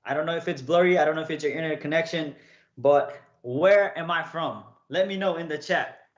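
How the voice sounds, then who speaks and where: A young man from Nepal chats with his livestream audience in a relaxed, storytelling way. He keeps checking in with them as he talks. His voice sits a little high, and the sound is roomy and slightly dull. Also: a little fast. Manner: chatty, keeps checking in with "okay?" and "right?". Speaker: streamer coaching viewers.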